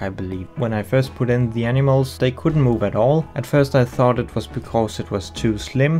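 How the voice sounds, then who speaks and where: A man with a Danish accent calmly explaining, in a voice-over, how he built a zoo habitat in a game. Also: medium pitch. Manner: calm, explanatory, slightly non-native phrasing. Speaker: game video creator. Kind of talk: voice-over narration of a game speed build.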